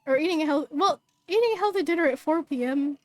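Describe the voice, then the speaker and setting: Woman, streamer, hoarse, bubbly, tongue-tied at times, high-pitched, dry close sound. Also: fast talker, a little mellow, no echo, soft background music. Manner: bubbly, chatty, stumbles over words. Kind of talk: live stream, reading game text and greeting chat.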